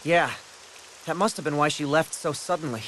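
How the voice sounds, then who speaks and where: A man's voice: a little high, moderate pace, a little hiss or hum, close to an everyday voice. A man playing an earnest, concerned young adventurer in an anime-style fantasy game. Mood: concerned, resolute. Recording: loud music behind the voice.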